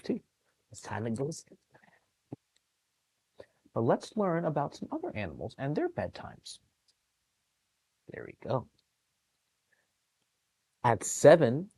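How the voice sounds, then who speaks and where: A man's voice: mid-range voice, no echo. A man reading a picture book aloud to young children, with chatty, encouraging asides about the pictures. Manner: animated, encouraging, explains pictures to young listeners. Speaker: children's storytime reader at a public library.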